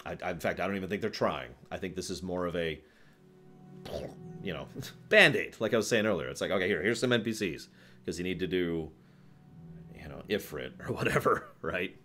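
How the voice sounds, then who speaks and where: A man's voice: low voice, brisk, long pauses, pushed and bright, faint music behind. A man hosting a livestream, talking casually about games to a live chat in an emphatic, rambling way, often repeating phrases for stress. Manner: rambling, digressive. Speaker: livestream host.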